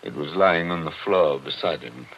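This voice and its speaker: Deep-voiced, formal older gentleman. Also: slight background noise. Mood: grave. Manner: formal, precise, measured. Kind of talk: 1940s radio acting. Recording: dull vintage 1940s radio recording.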